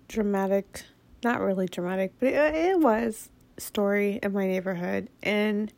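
A woman's voice: medium pitch, darkish tone. A woman with a head cold, nasal and sniffling, hosting her podcast in a frank, blunt monologue that swears freely. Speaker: podcast host. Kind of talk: casual monologue.

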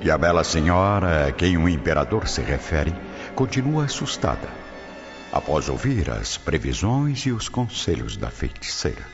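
Music runs clearly beneath a low male narrator on a dull radio recording. He reads scripted drama with a very animated, theatrical swing to his deep voice. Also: moderate pace.

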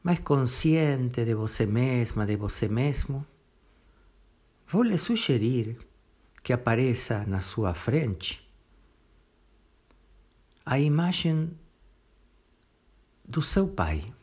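Slow-paced man guiding a meditation. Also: medium pitch, expressive, darkish tone, breathy edge. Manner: unhurried, instructing in short phrases with long pauses. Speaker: guided meditation leader. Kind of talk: guided meditation narration.

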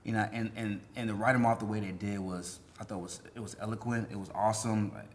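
A man's voice: fairly low pitch, fairly even intonation, fast. A man co-hosting a podcast, discussing films casually and often repeating his words and phrases. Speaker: podcast co-host.

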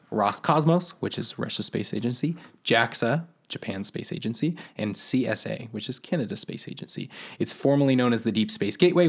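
A man co-hosting a casual podcast, breaking down space news in plain everyday terms. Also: fairly low pitch. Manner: explains space news in plain terms, then friendly sign-off. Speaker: podcast co-host. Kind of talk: casual science podcast chat.

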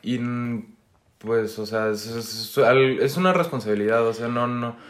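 A man's voice: medium pitch, unhurried. Young northern Mexican man, loose slangy podcast banter, mid voice, roomy echo. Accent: northern Mexican Spanish. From Mexico, Sinaloa. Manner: slangy, laughing, trailing restarts. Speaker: podcast co-host. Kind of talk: casual chat among friends. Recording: echoey room.

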